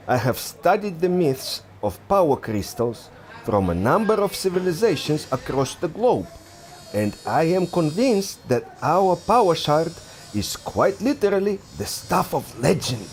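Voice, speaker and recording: An actor playing a zealous, scheming archaeologist with a formal, theatrical manner in a radio adventure drama. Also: male voice, on the high side, a little hiss or hum.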